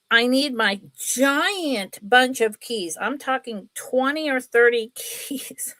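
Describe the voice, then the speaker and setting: A woman's voice: fairly high pitch, pushed and bright, no echo. A middle-aged woman with a sniffly, congested nose, chatting casually and ramblingly as she hosts a live sale. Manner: rambling, chatty, apologetic asides. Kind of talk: casual livestream chat.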